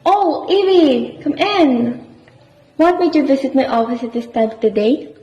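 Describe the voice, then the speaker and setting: Long reverb and dull sound surround a kindly female doctor played by a woman. Her voice is fairly high and composed, in amateur radio play style. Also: expressive, a little slow, mellow. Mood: welcoming.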